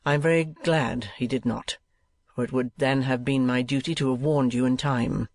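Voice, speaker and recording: A man voicing a defiant, earnest young teacher who answers in a quiet, firm way. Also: mid-range voice, steady tone, normal speed, dry recording, close to an everyday voice.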